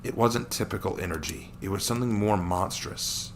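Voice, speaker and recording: A man's voice: lowish voice, normal speed, creaky, background noise. A man reading a dramatic action story aloud as its narrator.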